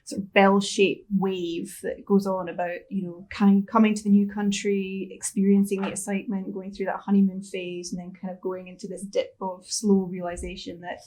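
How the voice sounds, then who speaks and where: Panelist, woman from Scotland, halting and reflective. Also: medium pitch, completely flat delivery, a little mellow. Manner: hesitant, many restarts, thinks aloud. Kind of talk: panel discussion.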